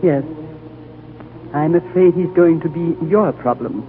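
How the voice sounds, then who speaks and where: An actor playing an ancient, wise and gentle high lama with a solemn, fatherly, oratorical manner, in the style of 1940s radio drama. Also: a little slow, background noise, lightly acted. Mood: grave, tender. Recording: tinny 1940s radio recording over music.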